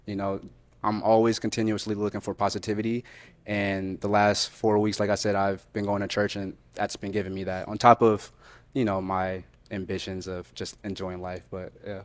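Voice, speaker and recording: A man with a low voice answers questions on a dating show. He talks haltingly, repeating himself and restarting his sentences. The sound is close and dry. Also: flat delivery, dry recording. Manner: halting, repetitive, many ums and restarts. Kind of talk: candid unscripted conversation.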